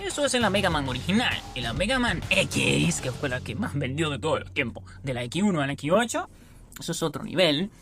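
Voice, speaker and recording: A woman with a fairly low voice gives explanatory commentary as a show host. Her pitch moves around a lot as she stresses points and slips in asides. Faint music plays behind her. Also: sing-song, fairly quick. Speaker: host of a geek culture show. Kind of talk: explanatory commentary on video game lore. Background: background music.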